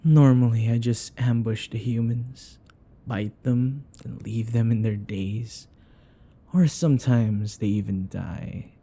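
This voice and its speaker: Man as a gravelly, languid vampire. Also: dark tone. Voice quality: breathy, gravelly, low-key. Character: world-weary, wary, grudgingly agreeable vampire. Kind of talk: ASMR audio roleplay.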